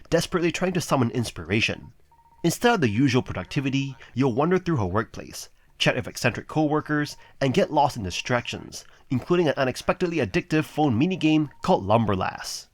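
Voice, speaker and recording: A man's voice: mid-range voice, no echo. A man narrating scripted video game reviews as a reviewer, with a polished, promotional delivery.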